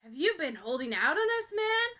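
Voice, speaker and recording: A woman putting on a brash, excitable teenage boy who teases at full volume, voice pushed high and bright. He is eager and cheeky, like a storybook classmate poking fun. The tone is clear and forceful. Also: normal speed, exaggerated voice. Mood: excited teasing. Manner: loud, teasing, eager.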